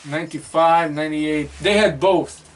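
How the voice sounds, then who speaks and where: Man, high voice, rambling, filler-heavy, casual movie chat, distant mic. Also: steady tone, normal speed. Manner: rambling, many fillers and restarts. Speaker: co-host.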